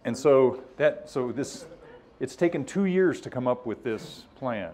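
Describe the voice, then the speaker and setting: Guy presenting at a science workshop, informal, explains stuff, kinda acts out what other people say, medium pitch. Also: animated, a little slow, darkish tone. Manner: conversational, explanatory, acts out others' positions in imagined quotes.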